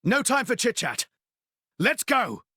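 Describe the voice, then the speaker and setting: A man's voice: extremely high pitch, big pitch swings, takes it slowly, bright, forceful tone, slightly rough, no room sound, clean studio sound, full character voice. An actor voicing a boastful, brash young man with a show-off manner. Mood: cocky. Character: cocky young male prince, brash show-off.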